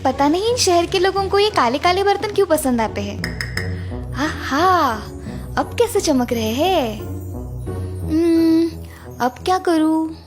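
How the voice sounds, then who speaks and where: A woman's voice: very high voice, lively intonation, measured pace, long pauses. A woman voicing a naive, eager, good-hearted young village-bred daughter-in-law in a cartoon story. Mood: cheerful, busy. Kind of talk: Hindi cartoon story acting. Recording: music bed behind the voice.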